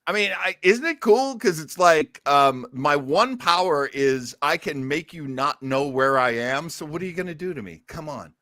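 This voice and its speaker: A man's voice: on the high side, expressive, moderate pace, hardly any pauses, sharp tone, dry recording. Male podcast host, enthusiastic rambling storyteller, casual delivery, dry close recording. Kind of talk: casual chat about old time radio.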